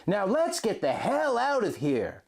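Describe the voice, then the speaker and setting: A bold young elf swordsman, eager and urgent, as a man puts him on while reading a fan story, raised in pitch and far more expressive than plain speech. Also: measured pace, full character voice. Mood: defiant.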